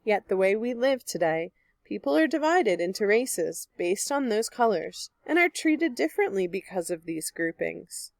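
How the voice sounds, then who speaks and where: A woman reading a picture book aloud like a teacher, in a clear, expressive manner meant for young children. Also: mid-range voice, moderate pace, dry recording. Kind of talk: children's read-aloud narration.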